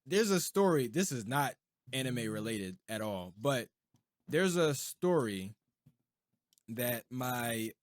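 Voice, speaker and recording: A man's voice: mid-range voice, big pitch swings, takes it slowly, no room sound. Young man on a dry, close podcast mic. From United States. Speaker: podcast co-host. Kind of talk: casual chat.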